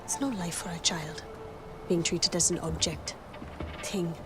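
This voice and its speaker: A woman's voice: fairly low pitch, steady tone, a little slow, background noise, close to an everyday voice. A woman playing a stern, protective young rebel leader in a video game, with a firm, instructing manner. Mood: guarded, earnest. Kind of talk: video game cutscene acting. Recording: loud music behind.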